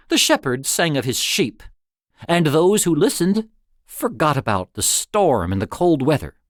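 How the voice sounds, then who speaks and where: A man reading an old tale as scripted narration, in a dramatic, expressive storytelling manner. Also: a little high, no echo, clean studio sound. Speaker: story reader.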